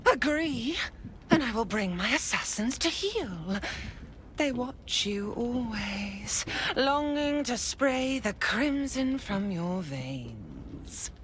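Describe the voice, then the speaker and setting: A woman's voice: fairly high pitch, big pitch swings, takes it slowly, fairly bright tone, slight background noise, heavily acted. An actress playing a sadistic young female assassin of a murder cult, taunting in a sing-song voice that slides into whispers. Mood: menacing. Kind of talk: video game cutscene acting.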